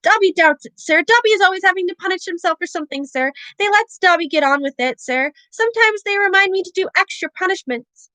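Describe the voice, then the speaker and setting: A woman voicing a small, earnest, servile male house elf with a high-pitched, tearful, overeager voice. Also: animated, fast, few pauses, sharp tone, dry recording, exaggerated voice, low-quality recording.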